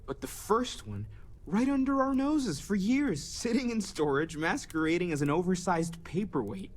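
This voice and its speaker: Nice earnest scholar in a space game, conversational, gentle, voice a bit on the higher side. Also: male voice, lively intonation, normal speed. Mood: earnest, curious. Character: kind, earnest male scholar turned space explorer. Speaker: theological scholar turned explorer. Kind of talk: video game character dialogue.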